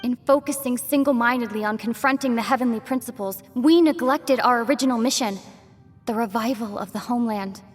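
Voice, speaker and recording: A woman playing a resolute, regal young commander who speaks formally and gravely in a dramatic video game. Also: on the high side, brisk, lightly acted. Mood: solemn resolve. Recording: long reverb, music behind.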